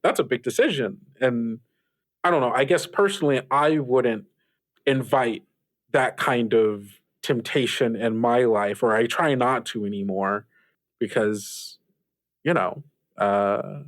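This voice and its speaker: Courteous, careful, dutiful character, played by a man, average pitch, hedging and hesitant, improvised tabletop roleplay. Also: slow pace, dry recording, natural voice. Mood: cautious, uneasy. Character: polite, cautious host, resigned to duty, wary of temptation. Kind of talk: improvised actual-play roleplay dialogue.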